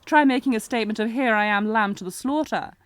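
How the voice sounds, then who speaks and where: A woman's voice: fairly high pitch, fast, smooth, no room sound, lightly acted. A woman playing a sardonic, protective knight bodyguard in a fantasy audio roleplay, with a taunting, commanding, intense manner. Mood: mocking, exasperated. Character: sardonic, protective female knight bodyguard, old academy rival. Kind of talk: scripted fantasy audio roleplay, acted one-sided dialogue.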